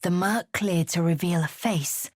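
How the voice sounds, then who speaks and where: A woman playing a fierce, haughty githyanki warrior in a video game, with a husky, breathy edge and clipped, imperious delivery. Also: fairly low pitch, measured pace, dry recording, clean studio sound. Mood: brooding recollection. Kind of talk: video game character acting.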